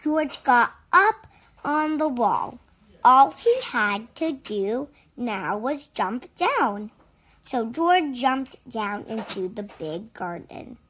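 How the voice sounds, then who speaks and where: A woman reading a children's picture book aloud with deliberate, expressive phrasing. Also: a little high, slightly rough. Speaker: storybook reader.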